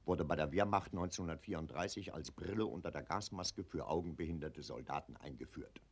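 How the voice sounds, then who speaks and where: An actor playing a pompous, patronizing older colonel in a 1940s radio play, blustering and lecturing. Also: male voice, very deep voice, fairly quick, slightly rough, heavily acted.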